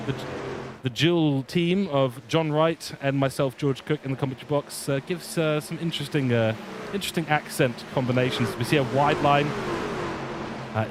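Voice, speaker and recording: A man's voice: medium pitch, background noise. A man with a British accent, born in England, giving live race commentary for an online racing league, chatty, with many fillers. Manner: chatty, reads viewer chat aloud, many fillers.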